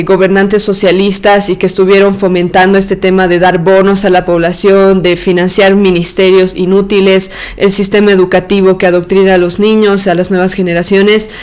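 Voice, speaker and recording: A woman from Argentina and Bolivia hosting a solo podcast, giving chatty, opinionated commentary with frequent fillers and restarts. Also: mid-range voice. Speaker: podcast host.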